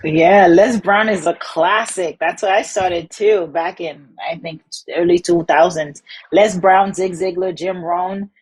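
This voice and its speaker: A woman's voice: fairly low pitch, steady tone, unhurried, a little mellow, low-quality recording. A woman from Haiti hosting a podcast interview, upbeat and encouraging. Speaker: host.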